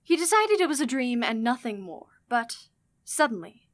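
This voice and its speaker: A woman narrating a scripted radio drama in a measured storytelling way. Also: fairly high pitch, sing-song, heavy background noise. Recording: loud music behind.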